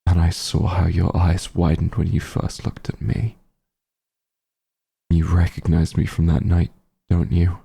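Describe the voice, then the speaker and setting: A very low, husky male voice acted by a man for a grim fantasy werewolf hunter in an audio roleplay. He is cold and contemptuous, with bitter grief underneath. The delivery is slow and deliberate, full of menace. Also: monotone, warm tone, exaggerated voice. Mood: accusing, contemptuous. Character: bitter, menacing werewolf hunter grieving his missing friend. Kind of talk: scripted ASMR roleplay, slow dramatic monologue.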